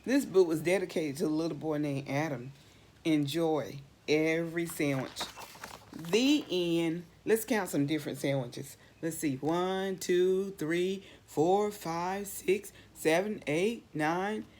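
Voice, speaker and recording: A woman's voice: fairly low pitch, takes it slowly, darkish tone. A woman reading aloud to children expressively, asking the listeners questions and repeating phrases for dramatic emphasis. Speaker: storybook read-aloud reader.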